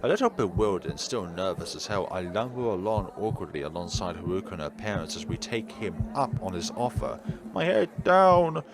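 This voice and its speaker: A man's voice: low voice, big pitch swings, brisk, very noisy. A man reading a visual novel aloud as a let's player, mixing narration with casual asides and sometimes tripping over words and correcting himself. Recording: music playing behind the voice. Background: game music.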